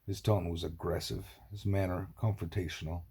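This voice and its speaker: A man reading a novel aloud as a scripted narration, in a husky, measured, dramatic storytelling voice. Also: low-pitched, little pitch movement, dark tone. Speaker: audiobook reader.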